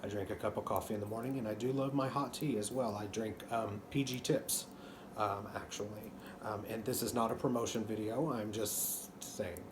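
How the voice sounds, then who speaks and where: Texan guy kinda hoarse, lowish voice, rambly chat at home, hum in the room. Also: fairly even intonation, moderate pace. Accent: Texan, mostly masked. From Texas. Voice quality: slightly hoarse. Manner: rambling, many ums and restarts, knitting while talking. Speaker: knitting channel host. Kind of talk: casual chat to camera. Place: living room couch at home.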